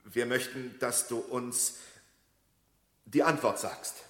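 An actor playing an earnest, ceremonious programmer in a radio drama. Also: male voice, medium pitch, slow pace, fairly bright tone. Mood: solemn. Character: earnest male programmer addressing a supercomputer, ceremonious. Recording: echoey hall reverb.

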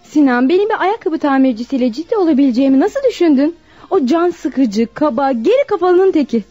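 High-pitched, haughty young socialite. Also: female voice, lively intonation, fairly quick, dark tone. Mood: mocking, contemptuous. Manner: scornful, mocking. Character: haughty, mocking, cruel young socialite woman. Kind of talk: Turkish radio drama acting. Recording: muffled lo-fi radio recording, music behind.

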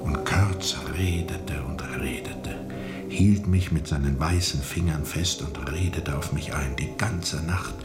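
An actor playing a haunted steamer captain who tells of a dark journey in a brooding, insistent radio play monologue. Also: male voice, very deep voice, takes it slowly, loud music behind the voice. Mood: haunted, hushed. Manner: brooding, insistent, dramatic repetition.

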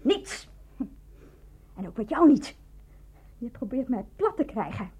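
A woman's voice: a little high, very animated, measured pace, darkish tone, breathy edge, exaggerated voice. A woman playing a defiant, bitter nurse in a radio drama, with emphatic, combative delivery. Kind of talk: melodramatic radio drama acting.

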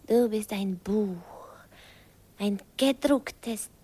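An actress playing a young Hungarian village girl, tender and dreamy, in a hushed, soft voice, in an old radio recording. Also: medium pitch, drawn-out words. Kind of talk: 1950s German radio drama acting. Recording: faint hiss of an old 1951 radio recording.

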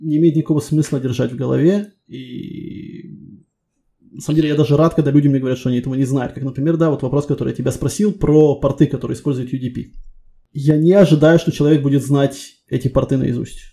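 A man's voice: medium pitch, fairly even intonation, fast talker, vocal fry, mellow. A man working as a technical interviewer, giving casual feedback in a reassuring, encouraging way.

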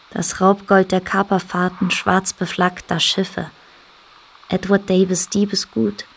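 A woman reading scripted literary narration as the storyteller of a radio play. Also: medium pitch. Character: female storyteller narrating island histories.

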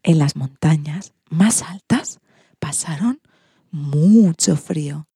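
Casting: female children's narrator, soft mellow timbre with a breathy husk, slightly low. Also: slow pace, no echo. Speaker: storyteller and presenter.

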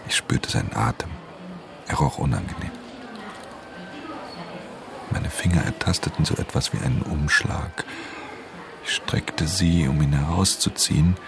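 Gravelly, very deep-voiced weary pickpocket. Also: male voice, background noise, exaggerated voice. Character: weary, guarded male pickpocket telling his own story. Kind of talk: German radio drama, first-person narration and dialogue.

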